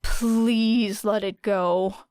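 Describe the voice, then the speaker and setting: A woman voicing a guarded, sarcastic teenage girl with a dry, deadpan manner in an audiobook. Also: medium pitch, extremely slow, smooth, dry recording. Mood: pleading, exasperated. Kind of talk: audiobook character voice.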